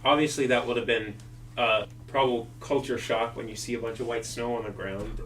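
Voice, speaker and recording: A man's voice: mid-range voice, fairly quick. Young man, podcast host, casual, filler words, distant mic, faint hum. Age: young adult. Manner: relaxed, lots of "like" and "you know".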